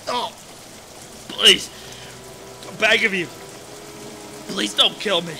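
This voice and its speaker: Shrill, manic criminal, very high-pitched. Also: male voice, very slow, gravelly, pushed and bright, slight background noise, full character voice. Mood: pleading. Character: taunting psychopathic criminal, man, cackling then pleading. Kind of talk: amateur radio play acting.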